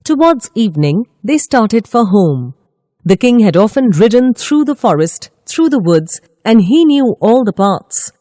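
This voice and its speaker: A woman storyteller reading a scripted children's story with expressive, deliberate phrasing. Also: a little high, no room sound, studio quality.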